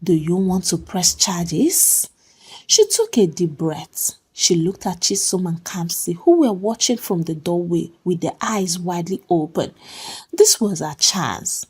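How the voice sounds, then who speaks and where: Female storyteller, dramatic narration, mellow dark tone, very varied pitch, unhurried. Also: expressive, slightly rough.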